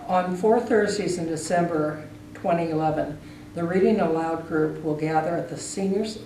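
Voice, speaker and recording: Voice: mid-range voice, mellow. An interviewer speaking informally in a conversational exchange. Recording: echoey distant room recording, faint noise.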